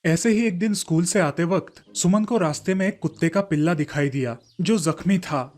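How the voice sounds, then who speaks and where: A man's voice: sits high, moderate pace. A man narrating a scripted children's story as a storyteller. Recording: faint music under the voice. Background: soft background music.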